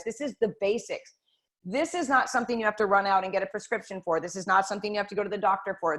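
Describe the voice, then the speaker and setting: Woman host, mid-pitched, earnest and direct, talking to viewers over a computer mic. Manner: earnest, direct, addresses viewers as "you guys". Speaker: health talk host. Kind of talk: casual motivational health talk. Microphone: computer microphone.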